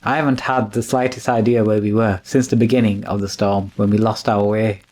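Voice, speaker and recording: A man's voice: fairly low pitch, little pitch movement, fairly quick, a little mellow, slight background noise, natural voice. A man playing a deferential, uneasy manservant, polite and hesitant, in an old-time radio drama. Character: deferential, uneasy manservant following his master.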